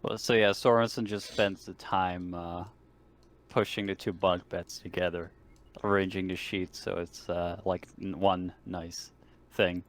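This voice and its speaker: A man's voice: low-pitched, little pitch movement, measured pace. A man playing a tabletop game, casually chatting and joking with friends. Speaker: player.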